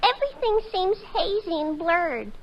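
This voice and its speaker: An actress playing a starstruck apartment maid who gushes melodramatically in a 1940s radio comedy. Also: female voice, extremely high pitch, sing-song, normal speed, full character voice. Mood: swooning. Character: swooning young apartment maid, breathlessly starstruck. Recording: noisy, dull 1940s radio recording.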